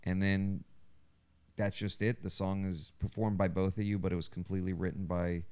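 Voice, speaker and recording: A man's voice: low voice, flat delivery, normal speed, a little mellow, smooth. A man co-hosting a podcast, chatting casually and putting questions to the others. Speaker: podcast co-host asking questions. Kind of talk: casual chat. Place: living room with soundproofing.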